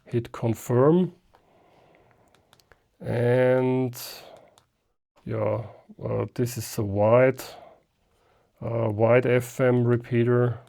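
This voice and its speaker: A man's voice: medium pitch, fairly even intonation, drawn-out words, gravelly, pauses often, dark tone. A man, a German speaker, giving a casual unscripted hands-on gadget demonstration and thinking aloud step by step. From Austria. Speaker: ham radio reviewer.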